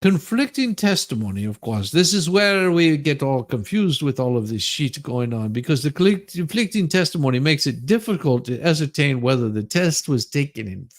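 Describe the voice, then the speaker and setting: A man giving a mock dramatic reading with crude comic asides, in a halting, folksy, stammering delivery. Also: fairly high pitch, very animated. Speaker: livestream host.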